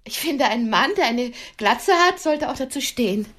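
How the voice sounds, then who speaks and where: A woman's voice: high voice, lively intonation, normal speed, very noisy. An actress playing a disillusioned middle-aged lady on a blind date in a radio drama, dry, pointed and wounded. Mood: mocking.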